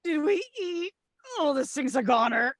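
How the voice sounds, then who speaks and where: Actress doing desperate bride-to-be, very high voice, blunt, plaintive, comedy table read, dry sound. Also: female voice, expressive, measured pace, dry recording.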